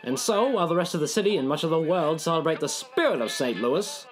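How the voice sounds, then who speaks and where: A man's voice: fairly high pitch, lively intonation, fast talker. A man delivering scripted dramatic narration as a storyteller. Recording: music behind the voice. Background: music.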